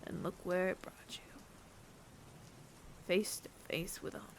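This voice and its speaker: A man playing a weary older android bounty hunter with reluctant mercy in an intimate audio roleplay, breathy and often near-whispered, deliberate and wry. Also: high voice, flat delivery, fairly bright tone. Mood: weary, resigned.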